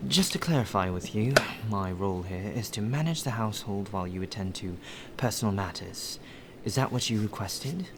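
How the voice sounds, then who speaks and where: A man's voice: lowish voice, lively intonation, normal speed. A man playing a polite, deferential butler in an ASMR roleplay, with formal, flowery phrasing. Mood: attentive, deferential. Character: courteous, well-spoken male butler, deferential and appreciative. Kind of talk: ASMR roleplay acting, addressed to the listener. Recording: rain ambience and clear background noise.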